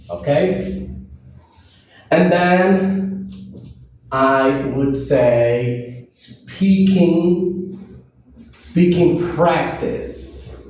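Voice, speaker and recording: A man's voice: fairly high pitch, mellow. A man in his thirties, a non-native English speaker, giving an informal language lesson to camera in an emphatic way, repeating key phrases. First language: not English. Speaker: English teacher. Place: small room with whiteboard, indoors. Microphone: camera mic a few steps away. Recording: very echoey, distant, dull, faint noise.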